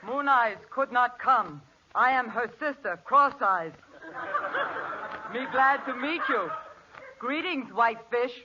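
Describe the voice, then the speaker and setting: A curt young maiden from a 1940s radio comedy. She speaks terse pidgin in a sharp, bright voice. The old recording is dull and faintly noisy. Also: fairly high pitch, lively intonation, takes it slowly, pauses often, full character voice. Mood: deadpan.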